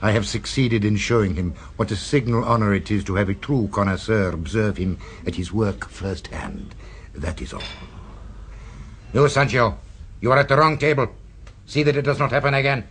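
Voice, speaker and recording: An actor playing a smooth, sinister restaurant owner in an old radio drama, speaking with measured formality. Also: male voice, on the low side, a bit bright, lightly acted. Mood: suave. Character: suave sinister male restaurateur, commanding. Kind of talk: 1970s radio drama acting. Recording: old radio recording with faint hiss.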